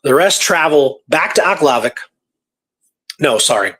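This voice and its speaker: A man hosting a talk show, retelling a true story in a casual, animated way with repeated phrases and pauses for drama. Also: fairly high pitch, husky, rough recording. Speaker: podcast host telling a true historical story.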